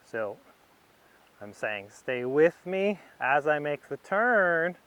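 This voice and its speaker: Man, riding instructor, somewhat high-pitched, clean smooth tone, step-by-step commentary, short unhurried phrases. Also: big pitch swings, a bit bright.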